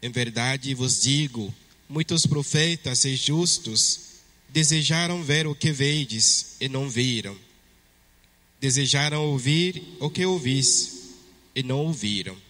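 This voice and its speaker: A man from Togo with a French-speaking West African accent, a priest reading the Gospel and preaching at Mass in a measured way. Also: medium pitch, lively intonation, pushed and bright. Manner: slow, measured liturgical delivery. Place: church. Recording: long church reverb.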